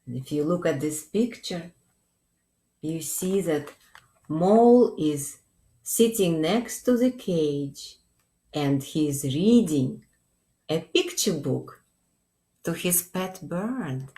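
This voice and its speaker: A middle-aged woman reads a picture book at storytime in a low voice. She goes very slowly and addresses young children gently and expressively. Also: lively intonation. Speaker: children's librarian. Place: small indoor room.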